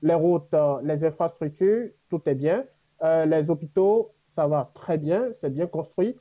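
A man from Africa whose first language is a Gbe language, answering interview questions reflectively with many pauses and fillers. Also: on the high side, highs cut off. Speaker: guest.

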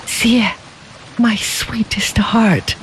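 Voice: medium pitch, expressive, slow, a little hiss or hum, voice pushed up, low-quality recording. A reader voicing a devoted, sorrowful young man who murmurs tenderly in a storybook. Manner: murmuring, hushed.

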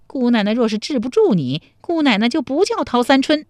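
A woman voicing a bossy, bold young country woman in a storybook, sharp-tongued and teasing. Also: sits high, sing-song, fairly quick, no room sound, clean studio sound, pitched above the performer's own voice. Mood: fierce scolding. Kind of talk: dramatized storybook dialogue.

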